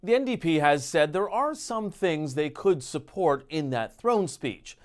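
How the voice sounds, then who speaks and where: A man's voice: fairly high pitch, animated, measured pace. A man with a Canadian accent delivering scripted news narration as a reporter. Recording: busy crowd and noise behind.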